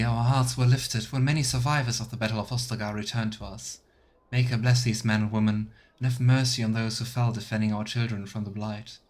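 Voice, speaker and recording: A man doing a let's play, reading quest text aloud and making casual asides as a game streamer. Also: fairly low pitch.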